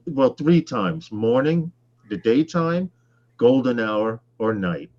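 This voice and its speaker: A man co-hosting a casual live show, rambling loosely and often clearing his throat. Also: medium pitch, very animated, slow pace, darkish tone, muffled recording. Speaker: livestream co-host.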